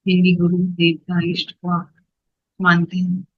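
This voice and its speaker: A meditation guide giving instructions in short phrases with unhurried pauses between them. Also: mid-range voice, completely flat delivery, dry recording, narrow, tinny audio.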